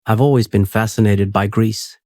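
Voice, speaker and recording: A man hosting a sleep podcast, reading a script in a steady, unhurried, soothing way. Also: on the low side, dry recording, studio quality.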